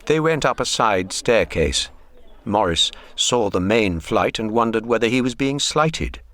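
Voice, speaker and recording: A man narrating a literary radio drama in a measured, wry way. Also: fairly low pitch, animated, a bit bright. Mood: descriptive.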